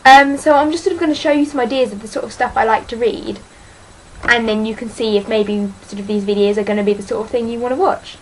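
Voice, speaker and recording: A woman's voice: fairly high pitch, steady tone, fast talker, a little hiss or hum, rough recording. A teenage girl chatting casually about books in a vlog, rambling and hesitant, full of ums and sort-ofs, self-deprecating. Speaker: book vlogger.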